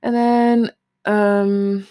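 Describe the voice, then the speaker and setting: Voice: medium pitch, level tone, extremely slow, mellow, smooth, dry recording, clean studio sound. A host chatting casually and candidly alone, rambling informally with some swearing. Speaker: solo podcast host. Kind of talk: casual rambling chat, commenting on a list.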